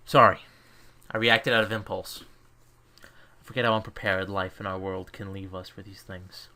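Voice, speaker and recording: A man's voice: deep voice, steady tone, normal speed, pushed and bright, heavily acted. A man playing a stern, short-tempered mentor lecturing a trainee in a visual novel fan dub. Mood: frank, serious.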